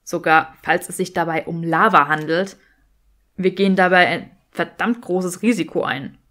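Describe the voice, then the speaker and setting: A woman's voice: lowish voice, moderate pace, fairly bright tone, close to an everyday voice. A woman voicing a sardonic, grumbling young male wizard in an audiobook, dry and emphatic. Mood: exasperated.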